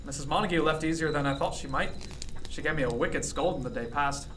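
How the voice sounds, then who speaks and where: A man's voice: a little high, fast, background noise, natural voice. A man playing a melancholy, commanding ship's captain in his twenties in a period seafaring audio drama. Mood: reflective.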